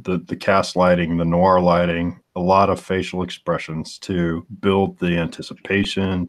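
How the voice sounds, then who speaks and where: Deep-voiced man in his forties, musing. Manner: thoughtful, searches aloud for the right words. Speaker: comic artist. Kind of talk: casual chat on a live stream.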